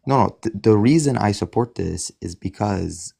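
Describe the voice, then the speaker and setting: A man's voice: fairly low pitch, animated, normal speed, vocal fry, mellow, breathy edge. A man insistently explaining his views as a guest in an online debate. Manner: insistent, repeats himself.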